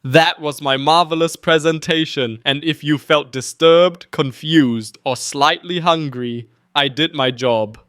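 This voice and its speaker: A man's voice: a little high, measured pace, few pauses, fairly bright tone, dry recording, clean studio sound. A man voicing an eccentric, proud mad inventor who presents grandly and mock-seriously in a puppet comedy.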